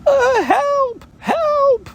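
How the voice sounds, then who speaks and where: A man voicing a small, timid young piglet from a children's storybook, shrill and stammering breathlessly. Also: extremely slow, fairly bright tone, slight background noise, heavily acted. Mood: terrified shrieking. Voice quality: shrill, squealing.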